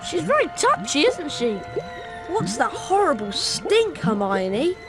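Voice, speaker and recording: A woman playing an excitable young schoolboy wizard in a video game. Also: high-pitched, slow, over loud music, heavily acted. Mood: uneasy.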